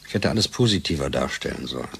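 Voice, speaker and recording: Gravelly, weary cynical scientist. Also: male voice, very deep voice, lively intonation, quick pace, fairly bright tone, slight background noise, full character voice. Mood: bitter, exasperated. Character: weary, cynical male lab scientist, bitter and exasperated. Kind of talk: German radio drama acting.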